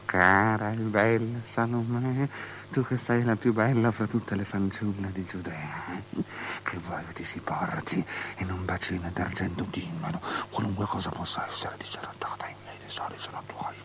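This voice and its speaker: An actor playing a lustful, desperate tetrarch of Judea in a theatrical radio drama, halting and repetitive, lurching between murmurs and outbursts. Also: male voice, fairly low pitch, natural voice. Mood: giddy, coaxing. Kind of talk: avant-garde theatrical radio drama acting. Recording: noisy old drama recording with hum.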